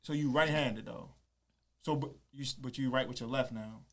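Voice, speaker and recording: A man's voice: medium pitch, moderate pace. A man co-hosting a podcast, asking skeptical, teasing questions in slangy casual chat. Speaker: podcast co-host.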